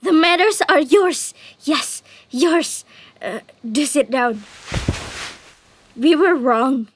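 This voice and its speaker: A woman playing an excitable, quarrelsome grown-up daughter desperate for a suitor, in theatrical, melodramatic farce style. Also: sits high, slow, no echo. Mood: eager, placating. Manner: melodramatic, wailing. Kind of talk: theatrical stage farce acting.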